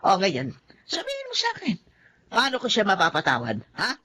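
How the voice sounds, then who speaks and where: A woman's voice: medium pitch, huge pitch swings, normal speed, sharp tone, husky, full character voice. An actress playing a feisty, grudge-holding older woman in a TV melodrama, with an indignant, combative manner. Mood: bitter, aggrieved. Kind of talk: television melodrama acting.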